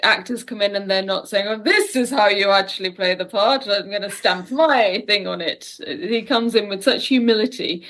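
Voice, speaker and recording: Female, mid-pitched voice, interview guest, casual conversational delivery. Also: moderate pace, hardly any pauses, fairly bright tone. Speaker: guest, audio drama writer.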